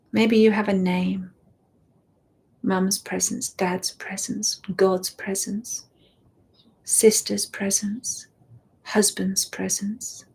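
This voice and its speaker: A woman guides a meditation in a husky, breathy voice with a gravelly edge. She speaks very slowly and gently, her words often fading into soft whispers. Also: medium pitch, low-quality recording. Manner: slow, soft and soothing, drifting into whispers.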